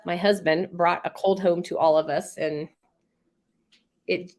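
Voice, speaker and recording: A woman's voice: fairly low pitch, fairly even intonation, measured pace, a little mellow. A woman with a congested head cold hosting a casual live stream, chatty and rambling as she reads out viewer comments. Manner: chatty, rambling, laughs often.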